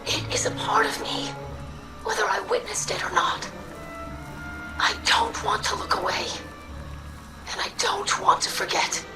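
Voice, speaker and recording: A woman voicing an earnest, dutiful young alien scribe in a video game, with formal, measured diction and wounded idealism. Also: very high-pitched, wildly swooping pitch, vocal fry, music in the background, full character voice, low-quality recording. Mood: pleading, confrontational. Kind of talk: dramatic video game cutscene acting.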